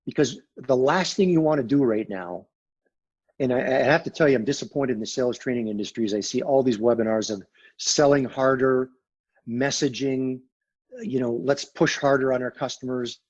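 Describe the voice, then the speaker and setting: Raspy-voiced man, measured and emphatic. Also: mid-range voice, darkish tone, dull audio. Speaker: sales consultant guest. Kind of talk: conversational business interview.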